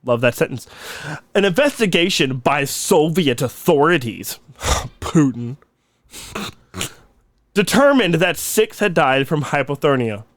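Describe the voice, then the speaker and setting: A man's voice: on the high side, sing-song, unhurried, pauses often, no room sound. A man in his thirties co-hosting a comedy podcast in a hammy put-on mock Russian accent, dropping little words as he jokes. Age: 30s. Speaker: podcast co-host.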